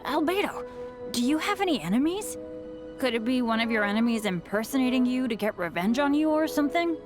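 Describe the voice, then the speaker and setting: A man's voice: extremely high pitch, lively intonation, fairly quick. An actor voicing an earnest, apologetic young male adventurer. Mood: eager. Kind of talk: video game voice acting. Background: game music.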